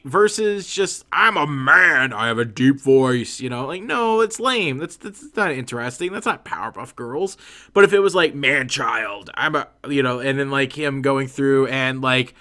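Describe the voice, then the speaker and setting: A man's voice: medium pitch, sharp tone. A young man chatting casually as he animates, rambling and opinionated with lots of fillers and restarts. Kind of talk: casual commentary while animating.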